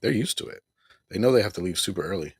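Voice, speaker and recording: A man's voice: low-pitched, animated, quick pace, husky, no room sound, studio quality. A middle-aged man hosting a casual home livestream, rambling and repeating himself with small chuckles. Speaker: livestream host. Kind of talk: casual live broadcast chat.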